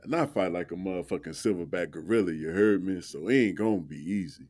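Man playing deep-voiced, protective young guy. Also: fast, darkish tone. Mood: reassuring, protective. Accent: American. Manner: informal, slangy, earnest. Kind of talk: audio drama dialogue, slangy.

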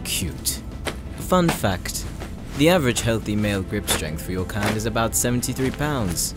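A man's voice: fairly low pitch, expressive, normal speed, lightly acted. A man playing a sadistic demon who has possessed a man's body, taunting with a condescending, theatrical drawl in an audio roleplay drama. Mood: smug. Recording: very echoey, clear music underneath. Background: music.

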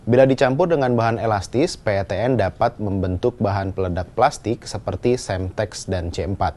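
A man's voice: lowish voice, moderate pace, darkish tone. A man narrating a scripted news explainer as a voice-over. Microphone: voice-over microphone. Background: background music.

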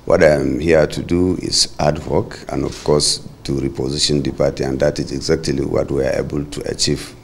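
A man's voice: very deep voice, little pitch movement, moderate pace, gravelly, few pauses, slight background noise. A middle-aged man from Nigeria answering reporters' questions in an indoor corridor, firm and formal. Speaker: party caretaker chairman and governor. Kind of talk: press briefing. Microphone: many handheld reporter mics.

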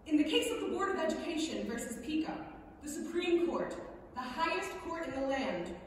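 High-pitched indignant student played by a woman, very echoey hall. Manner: emphatic, declamatory, rhetorical. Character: indignant LGBTQ+ high school student protesting to school board. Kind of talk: staged reading of a public speech. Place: large theater stage at lectern, indoors. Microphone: far camera in audience. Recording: very echoey theater, distant mic, faint noise.

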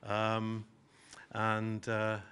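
A man's voice: on the low side, completely flat delivery, drawn-out words, fairly bright tone, clear, resonant tone. A man hosting an event and introducing student speakers in a rambling way with many fillers, in a small gallery room. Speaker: event host.

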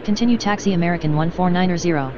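A female synthetic voice playing a brisk, businesslike airline pilot in a game, giving clipped radio readbacks. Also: fairly low pitch. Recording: dull lo-fi radio, clear background noise.

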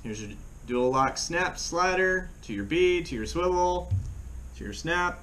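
A middle-aged American man giving a casual how-to demonstration in a plain-spoken, halting way, recorded indoors by a camera across a table. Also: fairly high pitch, expressive, a bit bright. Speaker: fishing channel host. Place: small room at home, indoors. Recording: clear background room noise.

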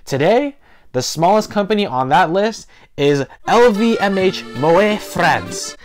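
A man's voice: high voice, animated, takes it slowly, faint music behind. A man in his twenties talking casually to camera as host of an explainer video, stressing key words, recorded indoors on a lapel mic. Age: 20s. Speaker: host of an investing video channel. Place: small living room, indoors.

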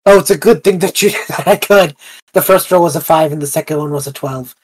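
Woman playing tabletop rpg, low voice, casual and halting, kinda explaining as she goes. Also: breathy edge. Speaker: tabletop game player. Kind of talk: casual in-character roleplay.

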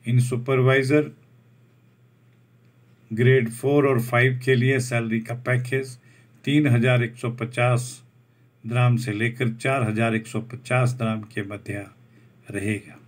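A man reads out a job advertisement over a document, slowly restating each English term in Hindi. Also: medium pitch, level tone. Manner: slow, deliberate, restates each point in Hindi after English terms. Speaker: job vacancy channel host.

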